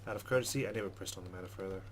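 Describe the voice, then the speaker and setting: A man's voice: deep voice, racing. A man hosting a let's play, reading game text aloud dramatically and adding wry asides. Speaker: gaming host. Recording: faint background noise.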